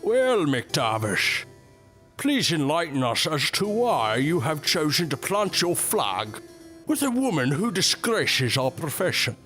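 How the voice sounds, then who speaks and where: An actor playing a pompous, domineering medical professor with an imperious manner in a Gothic audio drama. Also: male voice, a little high, sing-song, slow, pushed and bright, faint music behind, exaggerated voice. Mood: haughty disdain.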